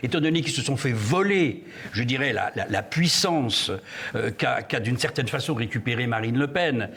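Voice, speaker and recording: A man's voice: medium pitch, lively intonation, moderate pace, gravelly, fairly bright tone, husky. A man speaking as a guest in a televised political debate, emphatic and sardonic as he argues. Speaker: panel guest.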